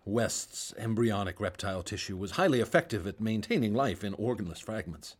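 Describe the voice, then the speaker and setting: A man's voice: lowish voice, a little fast, natural voice. An actor playing a haunted doctor who narrates his grim war past in a grave, weary, confessional way. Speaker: narrator and surgeon. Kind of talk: radio drama narration. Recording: radio play with music bed.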